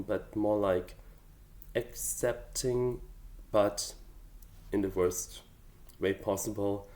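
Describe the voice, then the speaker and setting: A man's voice: deep voice, warm tone. A man whose first language is German, talking through his draft song lyrics in a casual, hesitant and thoughtful way with many fillers. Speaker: hobby songwriter. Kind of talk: casual explanatory vlog.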